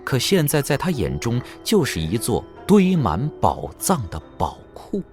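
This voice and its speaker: A man's voice: mid-range voice, wildly swooping pitch, a little slow, darkish tone. A man narrating an audio drama in a dramatic, scripted storytelling style. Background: background music.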